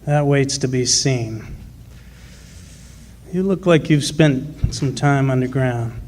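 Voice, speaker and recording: An actor playing a gruff, proud working-class miner and union man in a radio drama, with plain folksy speech. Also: medium pitch, slow, darkish tone, natural voice. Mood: earnest, persuasive. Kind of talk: live original radio drama.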